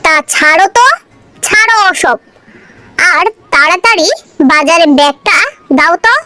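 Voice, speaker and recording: A performer voicing a stubborn, exasperated little bird husband in an animated cartoon, bickering and indignant. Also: very high-pitched, moderate pace, no room sound. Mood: annoyed, bickering. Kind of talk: Bengali animated cartoon dialogue.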